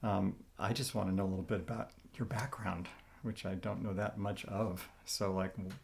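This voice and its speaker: Gravelly low-voiced man asking questions. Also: moderate pace, slight background noise. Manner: relaxed, hesitant questioning. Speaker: interviewer. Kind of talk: casual interview questions.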